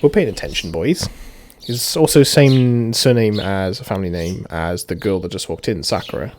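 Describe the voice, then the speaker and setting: A man's voice: sits low, moderate pace, a little mellow, a little hiss or hum. A man reading a visual novel's translated lines aloud and adding casual, jokey commentary.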